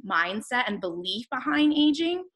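A woman's voice: on the high side, very animated, moderate pace, fairly bright tone. Earnest rambling woman around thirty. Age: 30s. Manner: earnest, rambling, many fillers and restarts. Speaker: podcast host and coach. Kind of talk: casual podcast conversation.

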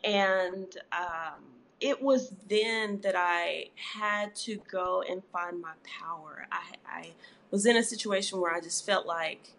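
A woman's voice: on the low side, takes it slowly, vocal fry, a little hiss or hum. Reflective woman with many fillers. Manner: warm, reflective, frequent "you know" fillers. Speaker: therapist and author, guest. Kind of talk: conversational interview.

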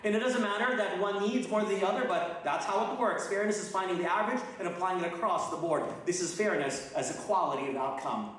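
A man's voice: high-pitched, fast talker, hardly any pauses, a bit bright. A middle-aged man, from the Philippines and raised in Texas, preaching a sermon as a guest pastor in a casual way with many fillers and restarts. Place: church sanctuary. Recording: distant mic in an echoey hall.

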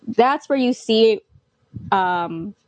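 A woman's voice: a little high, very slow, dry recording. Young female podcast host, eager chatty fan, dry close mic. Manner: excited fan talk with many "like" fillers. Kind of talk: casual podcast chat.

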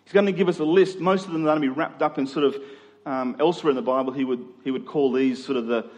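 A man's voice: mid-range voice, fast, darkish tone. A middle-aged man preaching in a conversational expository style, using rhetorical questions and emphatic repetition. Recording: long hall reverb.

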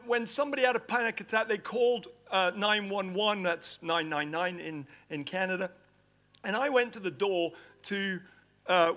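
A middle-aged man from Nigeria preaches with a lively, storytelling delivery. His voice sits high for a man and sounds bright and forceful. He acts out each scene as he tells it. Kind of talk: storytelling sermon.

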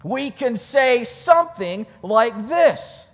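High-voiced male preacher in a reverberant hall. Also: very animated. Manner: emphatic, deliberate phrasing with repetition for weight. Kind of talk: sermon with scripture reading.

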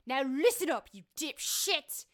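A woman's voice: high voice, very animated, unhurried, a bit bright, heavily acted. A woman playing a defiant, foul-mouthed old woman accused of witchcraft in a comedy audio drama, insolent and slangy. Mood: threatening. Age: older. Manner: insolent, coarse slangy speech.

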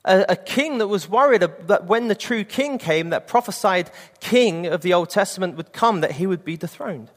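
A man's voice: sits high, animated, normal speed, few pauses, big-room sound. A man in his late twenties preaching a sermon and sharing an earnest personal testimony. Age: 20s.

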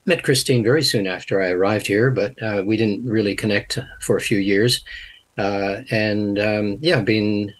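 Husky older man, hesitant. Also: fairly low pitch, steady tone. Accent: international mixed. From Canada. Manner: hesitant, many uh pauses. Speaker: guest, linguistics teacher. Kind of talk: interview answers.

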